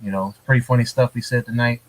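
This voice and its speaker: A man co-hosting a casual gaming podcast from home, talking in a relaxed, rambling way with lots of fillers. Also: medium pitch, fast. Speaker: podcast co-host. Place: hurricane-prone US city.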